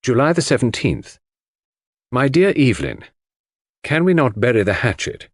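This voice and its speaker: A man's voice: mid-range voice, big pitch swings, moderate pace, dry recording, clean studio sound, pitched above the performer's own voice. A man voicing a fond, proud old woman's formal letter, read aloud in an audiobook. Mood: conciliatory. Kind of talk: audiobook character reading.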